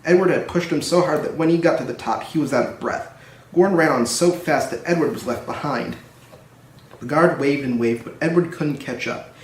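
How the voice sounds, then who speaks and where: A man's voice: on the high side, normal speed. A man narrating a children's story as a storybook reader. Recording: roomy echo, distant microphone.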